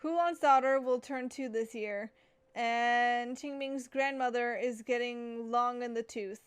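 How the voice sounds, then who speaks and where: A chatty young woman playing through a game, tossing out casual remarks and reading notes aloud with plenty of fillers. Her voice sits a little high, recorded close on a desk mic. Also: fairly even intonation, a little slow, smooth. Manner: chatty, giggly, filler-heavy. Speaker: gaming streamer.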